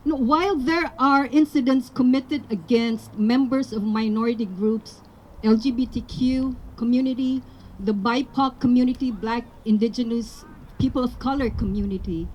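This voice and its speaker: A woman's voice: fairly high pitch, normal speed, darkish tone, slight background noise, poor audio. A woman from the Philippines whose first language is Tagalog, giving an earnest public speech at a rally outdoors. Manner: earnest, building to emphatic appeals. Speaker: rally speaker.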